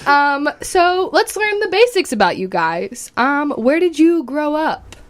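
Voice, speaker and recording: A woman's voice: sits high, very animated, unhurried, slight background noise. A young woman co-hosting a college radio show in a studio, chatting casually and laughing often between lines. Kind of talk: casual chat. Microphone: studio mic.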